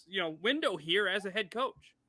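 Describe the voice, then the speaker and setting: A man's voice: high-pitched, animated, normal speed, pushed and bright, dry recording. A man hosting a sports show, asking his guest questions in a conversational way. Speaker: sports show host, interviewer. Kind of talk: conversational interviewing.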